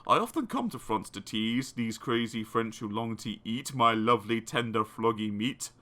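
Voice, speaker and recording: A man voicing a cool, boastful magic toad in a deliberate manner for a children's storybook poem. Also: medium pitch, lively intonation, bright, forceful tone, voice pushed down. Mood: cool, smug. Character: calm, cunning old magic toad, smugly cool.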